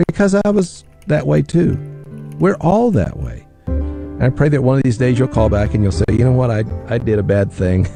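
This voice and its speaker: Deep-voiced, gravelly American radio host. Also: male voice, warm tone.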